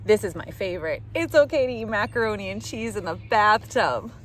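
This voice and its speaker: Woman, middle-aged, children's picture-book reader, lively swooping intonation, speaking straight to kids, light outdoor background noise. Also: mid-range voice, brisk. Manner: animated, addressing young children directly. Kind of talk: expressive picture-book read-aloud for children. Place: outdoors in leafy woods.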